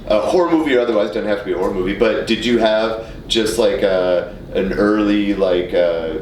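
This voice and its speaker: A man with a rather low voice talks casually as a podcast host, stumbling and repeating as he builds a point. He is slightly distant in a roomy space with clear rumble. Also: a little fast, darkish tone. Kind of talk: casual discussion. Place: indoor radio studio. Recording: roomy, noisy, off-mic studio recording with rumble.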